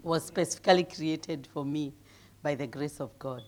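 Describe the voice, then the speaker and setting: A middle-aged woman from Kenya with a deep voice, preaching as a guest speaker, humble and given to laughing, growing loud and emphatic as she reads scripture. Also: normal speed, a little hiss or hum. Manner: grows loud and emphatic when reading scripture. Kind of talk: sermon.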